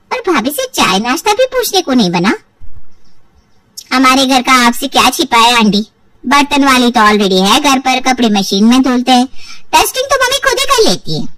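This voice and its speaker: A woman's voice: high-pitched, a bit bright, no room sound, recorded from a distance, rough recording. A woman voicing a spiteful, whining married sister-in-law in a cartoon moral story, sarcastic and theatrical.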